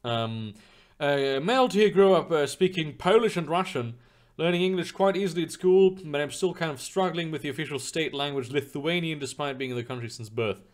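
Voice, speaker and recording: A man's voice: on the high side, a little fast. A young man streaming live, chatting loosely with his viewers in a rambling way, full of fillers and casual swearing. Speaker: streamer reading chat.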